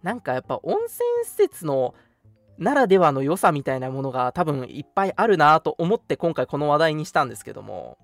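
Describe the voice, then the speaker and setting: A man's voice: fairly high pitch, very animated, brisk, vocal fry, no room sound. A young man hosting a radio show in a casual, agreeable chat. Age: young adult. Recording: music playing behind the voice. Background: background music.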